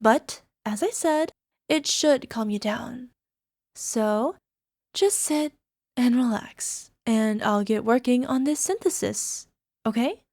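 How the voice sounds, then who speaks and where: A woman playing a young, sweetly possessive yandere alchemist girlfriend in an ASMR roleplay, coaxing softly and sometimes slipping toward a whisper. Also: on the high side, vocal fry, husky, no echo, clean studio sound, full character voice. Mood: apologetic, intent. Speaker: voice actor. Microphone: close microphone, dry.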